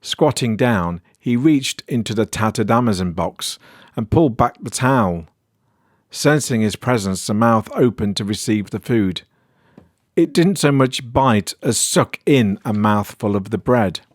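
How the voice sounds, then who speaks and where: A man's voice: on the low side, no echo, studio quality. A man with a British accent reading a weird horror story as scripted narration.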